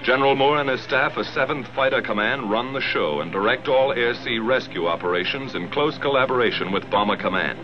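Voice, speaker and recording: A man's voice: medium pitch, a little fast, few pauses. American man narrating a dated 1940s war film. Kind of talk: 1940s military documentary film narration, scripted. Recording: dull 1940s film soundtrack, loud music. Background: loud film score.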